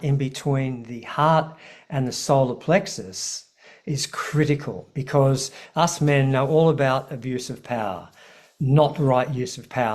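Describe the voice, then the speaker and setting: A middle-aged man speaking in a measured, earnest way about spiritual healing and purifying the heart. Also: mid-range voice, a little mellow. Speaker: spiritual teacher, guest. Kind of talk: casual spiritual talk.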